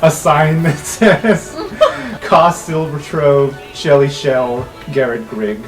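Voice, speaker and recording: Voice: mid-range voice, slow pace. A game master narrating an improvised tabletop role-playing game in an unscripted, conversational way. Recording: music behind the voice. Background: background music.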